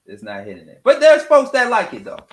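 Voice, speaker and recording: A man's voice: extremely high pitch, huge pitch swings, a little fast, reverberant. A man hosting a morning show, talking casually about music and repeating phrases for emphasis. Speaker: radio morning show host.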